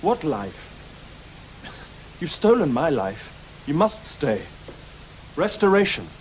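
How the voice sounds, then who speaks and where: An actor in a studio drama playing a haughty, needy fictional detective, with arrogant, precise and theatrical delivery. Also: male voice, a little high, very animated, takes it slowly, a little hiss or hum, poor audio. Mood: wounded, demanding. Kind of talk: studio radio drama acting.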